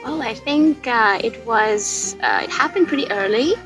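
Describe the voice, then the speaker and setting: Slow, hesitant young woman. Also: fairly high pitch, expressive, muffled recording, over music. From Greece. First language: Greek. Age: 20s. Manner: hesitant, halting, many fillers. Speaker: interview guest. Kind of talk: casual interview answers.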